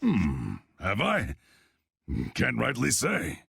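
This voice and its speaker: A man voicing a gruff, commanding old guild boss in a video game, folksy, drawling and stubborn, with a gravelly, husky voice. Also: mid-range voice, wildly swooping pitch, extremely slow, a bit bright, dry recording, studio quality, heavily acted. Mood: wry, evasive. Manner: folksy, drawling, plain-spoken. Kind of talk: video game dub acting.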